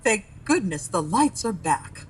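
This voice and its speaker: A woman's voice: mid-range voice, very animated, measured pace, music in the background. An actress playing a stubborn, defensive woman who gets flustered when challenged.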